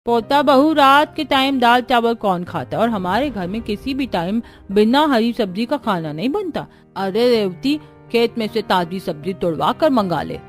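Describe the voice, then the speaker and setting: A woman voicing a bossy elderly grandmother-in-law in an animated family story, scolding and imperious. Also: a little high, normal speed, hardly any pauses, lightly acted. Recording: music bed under the voice.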